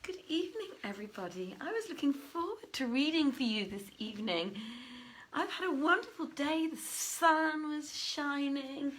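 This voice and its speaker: A middle-aged woman with a high-pitched voice hosts a casual livestream from her living room. She is chatty and effusive as she addresses her viewers. The sound is that of a phone set a short way off. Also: fairly bright tone, slight background noise. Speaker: host of live story readings. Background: faint music at first.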